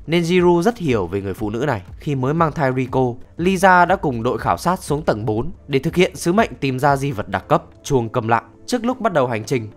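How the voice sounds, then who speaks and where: A man narrating a scripted comedic recap in a slangy, tongue-in-cheek storytelling manner. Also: a little high. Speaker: anime recap reviewer. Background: background music.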